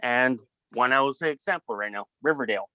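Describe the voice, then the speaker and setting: A man talking casually in a group discussion, halting and repeating himself, often leaning on "like". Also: mid-range voice, sharp tone. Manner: halting, repetitive, heavy use of "like". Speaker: film production student in a group meeting.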